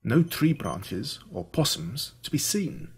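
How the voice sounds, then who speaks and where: An Australian man reading a scripted first-person story in an expressive, dramatic storytelling manner. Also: mid-range voice, slow, vocal fry, husky. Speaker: audiobook narrator.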